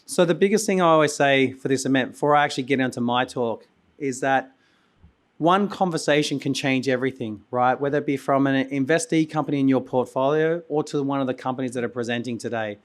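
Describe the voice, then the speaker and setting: Hoarse male conference host, mid-pitched, rambling keynote with restarts and coughs, voice going. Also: fairly quick. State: hoarse, losing his voice. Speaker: conference host and company founder. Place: conference venue.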